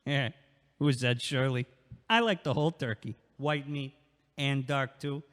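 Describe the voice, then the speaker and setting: An actor playing a crude, taunting neighbor who blusters and leers in an audio play. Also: male voice, medium pitch, a little slow, fairly bright tone, in a large hall, close to an everyday voice. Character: crude, leering middle-aged male neighbor.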